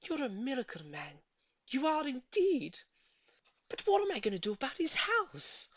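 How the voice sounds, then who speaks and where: A woman voicing an excitable, gushing older lady neighbour in a storybook reading. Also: sits high, normal speed, no echo, voice pushed up, heavily acted. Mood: proud, admiring, then worried. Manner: exclamatory, gushing.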